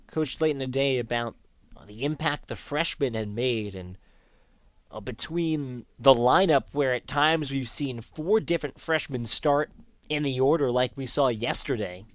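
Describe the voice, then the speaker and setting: A man calling live baseball play-by-play as the announcer of a sports broadcast. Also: medium pitch.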